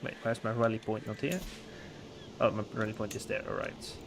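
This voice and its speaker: A man's voice: lowish voice, steady tone, fairly quick, gravelly, music in the background. A man streaming a strategy game live, chatting casually in a rambling way, laughing often and restarting his words. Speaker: livestreamer.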